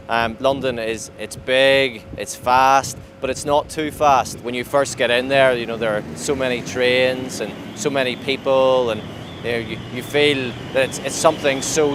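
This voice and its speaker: A young man, giving a thoughtful, halting personal account in an interview, with frequent restarts. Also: mid-range voice. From Northern Ireland. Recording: clear outdoor traffic and water noise.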